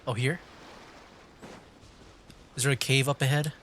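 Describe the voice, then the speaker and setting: A man's voice: medium pitch, fairly even intonation, unhurried, fairly bright tone, slight background noise. A man giving casual, rambling gameplay commentary as a streamer, thinking aloud as he plays. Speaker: game streamer. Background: game music.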